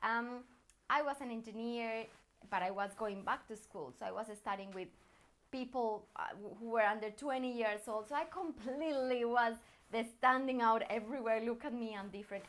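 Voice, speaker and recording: A woman with a fairly high voice and a Colombian accent, a native Spanish speaker. She talks as a personal branding coach, addressing the listener directly. Her delivery is encouraging and earnest, in the manner of a motivational lesson. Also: fairly bright tone. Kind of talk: motivational coaching talk to viewers.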